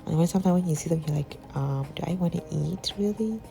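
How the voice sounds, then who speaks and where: A young woman with a slightly husky voice, chatting casually to viewers while cooking in her home kitchen. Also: lowish voice, fast talker, warm tone, soft background music. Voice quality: husky, sounds slightly sick. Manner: chatty, giggly, talks to viewers as guys. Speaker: cooking channel host. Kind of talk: casual cooking vlog voice-over.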